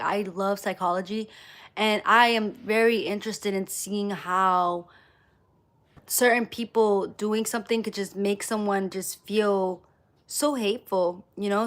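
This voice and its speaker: A woman's voice: medium pitch, fairly bright tone. Emphatic woman talking casually on a livestream. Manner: emphatic, repeats phrases for emphasis. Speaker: live streamer. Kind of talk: casual motivational livestream talk to viewers.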